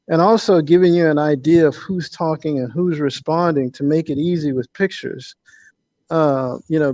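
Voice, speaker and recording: A man with a mellow, slightly high voice talks as a podcast guest, explaining his work as an author. He builds points conversationally, repeating words along the way. The recording is dry and a little dull. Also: no room sound. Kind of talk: conversational interview answers.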